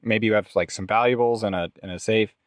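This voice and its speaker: Man, lowish voice, dry close sound, casual role-play, talking through his character's actions. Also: steady tone, fast, no echo. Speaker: role-playing game player.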